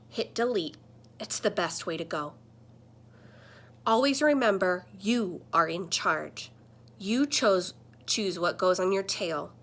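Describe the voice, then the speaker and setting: Woman reading as a bright, scolding storybook talking screen. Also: mid-range voice. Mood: earnest advising. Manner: emphatic, admonishing, instructive. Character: talking computer screen, scolding, protective mentor. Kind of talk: children's picture book read-aloud.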